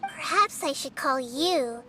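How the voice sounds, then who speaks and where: A woman voicing a gentle, wise, small young goddess in a video game, soft and girlish, explaining things in a measured, thoughtful way. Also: sits high, slight background noise, heavily acted. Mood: composed, probing.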